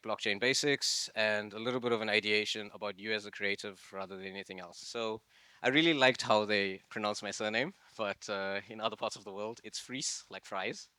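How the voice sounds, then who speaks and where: Low, bright-toned man giving a talk. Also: clean tone, no room sound. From Zimbabwe. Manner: loose, conversational, lots of "like" and "um". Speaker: masterclass speaker. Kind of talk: live presentation to an audience.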